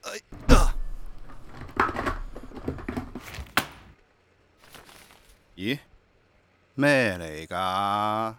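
Bright, brusque haggling junk dealer. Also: male voice, on the low side, lively intonation, drawn-out words, smooth, exaggerated voice. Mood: puzzled. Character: brusque, haggling second-hand goods buyer. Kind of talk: Cantonese radio drama acting.

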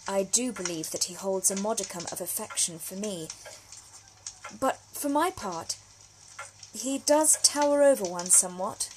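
A woman's voice: medium pitch, a little slow, background noise, natural voice. A woman playing a genteel, frank young Victorian letter writer in an audio drama monologue, speaking with formal, precise diction. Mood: wry, confiding. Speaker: actor reading a solo audio drama. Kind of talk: period monologue, letters read aloud.

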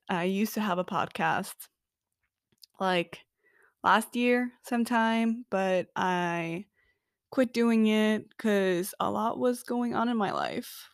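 A young woman from Norway talks through her own podcast in an upbeat, casual way, filling her sentences with "like" and little pauses. She takes her time, speaking at an unhurried pace. The mic sits close in a dry, dead room. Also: mid-range voice, dry recording. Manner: chatty, upbeat, filler-heavy with frequent "like". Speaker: podcast host. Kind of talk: casual solo podcast monologue.

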